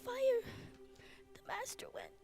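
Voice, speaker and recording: Casting: suffering half-human beast, extremely high voice, painfully slow broken speech, tearful, live stage with music behind. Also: female voice, wildly swooping pitch, vocal fry, heavily acted. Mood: pained, fearful. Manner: halting, repetitive broken speech. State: crying, in pain. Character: dying half-human beast creature, simple and anguished. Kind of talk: live radio drama acting.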